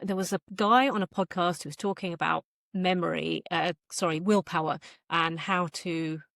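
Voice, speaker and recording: A woman with a slightly low voice speaks as a guest author in a casual interview. She feels her way through her thoughts, often interrupting herself. The sound is very dry, with hardly any room in it. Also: fairly even intonation, moderate pace, vocal fry, fairly bright tone, dry recording.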